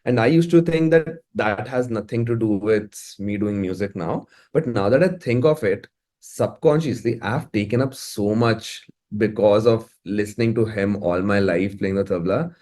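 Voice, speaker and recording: A man's voice: fairly low pitch, moderate pace, darkish tone. Indian man chatting casually about his work. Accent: Indian English. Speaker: music producer and musician being interviewed. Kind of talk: casual conversational interview.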